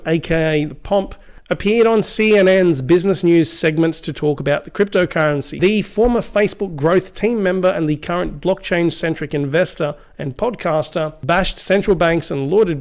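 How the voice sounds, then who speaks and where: Croaky-voiced man reading crypto news. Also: high voice. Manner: reads quotes aloud with frequent restarts and asides. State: slightly croaky voice.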